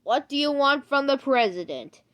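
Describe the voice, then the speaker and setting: Woman playing an impatient high-voiced government official. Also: animated, normal speed, a bit bright. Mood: brisk. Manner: blunt, demanding.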